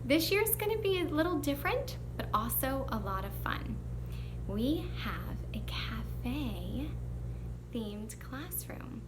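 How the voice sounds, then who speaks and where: A woman teacher with a fairly high, animated voice talks to young children as if at storytime. She takes her time, and there is faint noise behind her. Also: slow pace.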